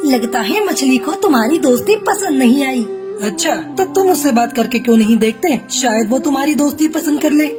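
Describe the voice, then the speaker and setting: A woman's voice: high-pitched, expressive, a little fast. A woman voicing a jealous, spiteful male bird in a children's cartoon story, with a snide, scheming manner. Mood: coaxing. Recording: music bed under the voice.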